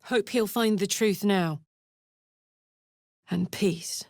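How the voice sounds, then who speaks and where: A woman's voice: mid-range voice, extremely slow, a little mellow, no room sound, clean studio sound. A woman playing a brash, big-hearted female warrior in a video game, speaking in an earnest, blunt and slangy way. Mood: subdued, wistful.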